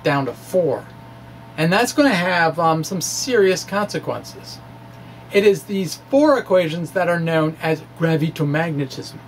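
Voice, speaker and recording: Science presenter on a noisy, humming recording. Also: medium pitch. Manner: conversational, explanatory, casual asides. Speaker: amateur physicist presenting. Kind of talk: dramatic reading of a science talk. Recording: clearly noisy recording with hum.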